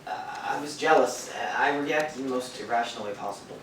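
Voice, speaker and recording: A man's voice: mid-range voice, fast talker, close to an everyday voice. Man playing an earnest, awkward young writer, very echoey. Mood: sheepish, earnest. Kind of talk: stage play acting. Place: live stage in a theatre. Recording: very echoey theatre, far off, noisy.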